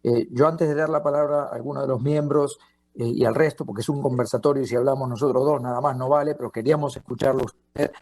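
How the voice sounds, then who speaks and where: A man who is not from Costa Rica, moderating a public forum, halting and repeating words as he talks. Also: mid-range voice, fairly even intonation, darkish tone, dry recording.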